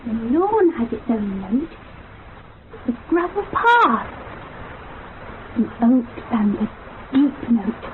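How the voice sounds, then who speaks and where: A band-limited radio recording holds a young woman. Her high voice is musing and inquisitive, moving slowly with a lilting, varied melody. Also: big pitch swings, narrow, tinny audio, a little hiss or hum. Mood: dreamy, curious. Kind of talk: radio play acting.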